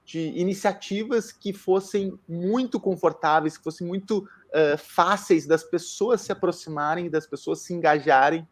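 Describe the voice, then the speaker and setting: A man talking in an intense, expressive way as a guest introducing himself, using slangy interjections. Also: high-pitched, moderate pace. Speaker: guest, founder of a social communication initiative. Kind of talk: casual self-introduction on a talk.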